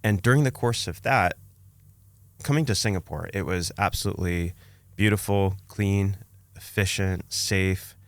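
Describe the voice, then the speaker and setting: A man's voice: deep voice, a little slow, no echo. A man, telling his own story conversationally as a podcast guest. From Canada, born in Romania.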